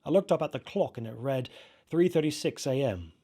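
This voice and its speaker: A man narrating a first-person horror story in an expressive, dramatised way with halting pauses. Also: medium pitch, slightly rough. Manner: expressive, halting, acts out reactions.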